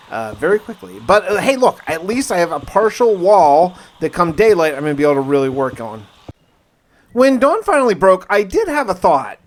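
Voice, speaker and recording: A man narrating a survival game diary in the first person, conversational and musing, with wry asides. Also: a little high. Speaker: gaming video narrator. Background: music.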